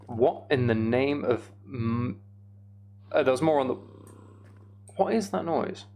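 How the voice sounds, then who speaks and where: British man with a creaky voice. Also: mid-range voice, lively intonation, takes it slowly, dark tone. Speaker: game streamer. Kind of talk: casual Minecraft playthrough commentary, chatting with viewers.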